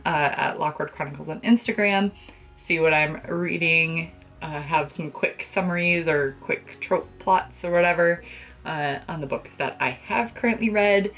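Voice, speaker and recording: A young woman chatting casually to the camera as a book vlogger, with an expressive, gushing and emphatic way of talking. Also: medium pitch. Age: young adult. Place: small bedroom, indoors.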